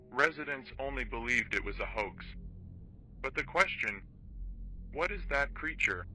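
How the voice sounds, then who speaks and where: A man playing a solemn documentary narrator of monster attacks, reading a formal, measured horror script. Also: medium pitch, pushed and bright, poor audio. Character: grave male documentary narrator reporting giant monster attacks. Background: background music.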